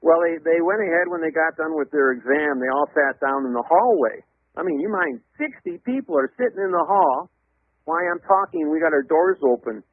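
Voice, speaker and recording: Emphatic higher-pitched man, dull radio sound. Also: lively intonation, a little fast, no room sound. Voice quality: loud voice. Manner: emphatic, didactic, spells out words letter by letter. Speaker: interview guest, legal theorist. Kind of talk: explanatory radio interview monologue.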